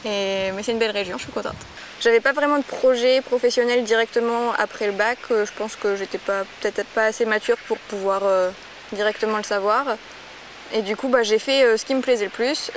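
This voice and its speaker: A woman's voice: mid-range voice, fairly even intonation, brisk, a little hiss or hum, poor audio. A young woman in her twenties from Normandy, talking about her ecology fieldwork in a documentary interview, hesitant and full of fillers and restarts. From Normandy, France. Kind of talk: filmed documentary portrait interview.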